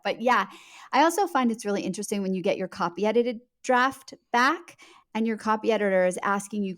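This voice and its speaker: A woman chats as an author guest on a podcast. Her voice sits at a middle pitch. She explains in an articulate, conversational way and puts emphasis on the words that matter.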